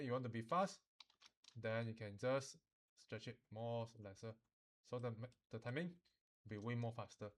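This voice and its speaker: A man teaching software informally, code-switching and often checking that students follow. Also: medium pitch. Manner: instructional, often checks understanding, uses particles like lah. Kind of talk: informal software tutorial mixing English and Mandarin.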